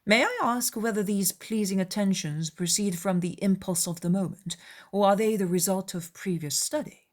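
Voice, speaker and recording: A woman voicing a wry, quietly mocking middle-aged country gentleman in an audiobook, dry and formally courteous. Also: high-pitched, normal speed, lightly acted. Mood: dryly amused.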